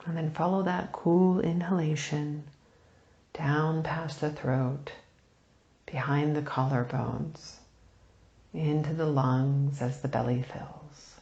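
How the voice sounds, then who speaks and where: A woman leading a guided meditation, speaking softly and soothingly in unhurried phrases with long pauses, turning breathy at phrase ends. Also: sits low, fairly even intonation, roomy sound, muffled recording. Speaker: meditation teacher.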